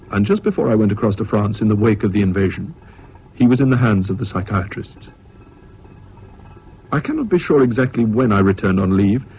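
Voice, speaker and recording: An actor playing an English gentleman recalling his past, in measured first-person radio drama narration. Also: on the low side, slightly rough. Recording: dull old radio recording, light noise.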